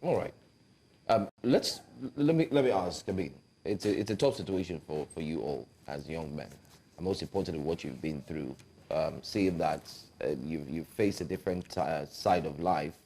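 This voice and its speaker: A man with a low, dark voice puts questions to the young guests. He restarts and fills his sentences with ums. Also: moderate pace, husky. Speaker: interview co-host.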